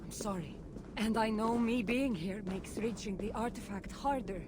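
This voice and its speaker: Woman as seasoned female warrior, measured, earnest, confident, game dialogue, noisy ambient background. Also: mid-range voice, close to an everyday voice. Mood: earnest, regretful. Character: world-weary, self-assured wandering female warrior from ancient Greece. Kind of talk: video game dramatic dialogue. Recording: clear environmental game noise behind voice.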